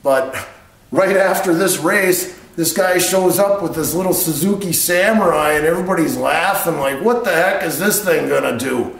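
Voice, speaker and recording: A man's voice: fairly high pitch, normal speed, gravelly, a bit bright. A middle-aged man telling a story casually and informally, rambling along in a matter-of-fact way. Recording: roomy echo on the voice.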